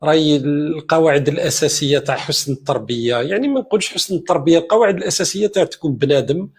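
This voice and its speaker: Husky, fast-talking Algerian man arguing. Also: on the high side. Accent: Algerian Arabic. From eastern Algeria. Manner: combative, scolding. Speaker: live stream host. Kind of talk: heated live-stream debate.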